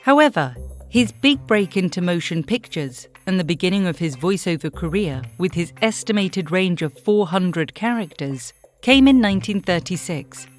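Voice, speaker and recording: A woman's voice: fairly low pitch, expressive, moderate pace, soft background music. A woman hosting a documentary series, reading scripted narration.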